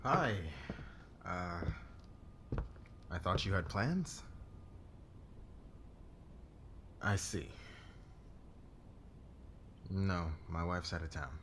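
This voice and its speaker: A man's voice: deep voice, sing-song, extremely slow, pauses often, background noise, exaggerated voice. A man playing an awkward, hesitant and bemused businessman at home in an audio roleplay.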